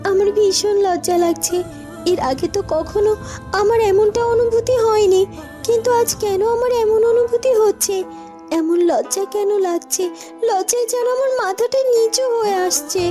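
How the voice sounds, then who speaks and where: A woman's voice: very high voice, normal speed, mellow, faint music behind, pitched above the performer's own voice. A woman voicing a shy, sweet young princess bride in a cartoon, girlish and bashful.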